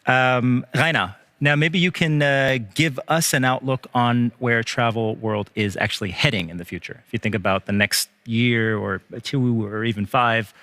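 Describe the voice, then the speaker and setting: A man moderating a panel interview, with a smooth, friendly interviewer manner. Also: mid-range voice, steady tone, normal speed, few pauses, sharp tone, dry recording.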